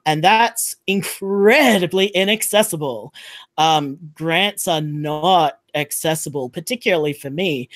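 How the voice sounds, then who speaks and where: A man's voice: on the high side, a bit bright, dry recording. Aussie guy on a podcast panel, opinionated and emphatic, really dry recording. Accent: Australian. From Australia. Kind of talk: casual panel discussion.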